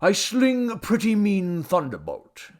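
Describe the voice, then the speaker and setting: A man voicing a tipsy, boastful old Babylonian idol god from a story, with a slurred, booming, folksy drawl. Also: huge pitch swings, measured pace, darkish tone, full character voice. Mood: grumpy.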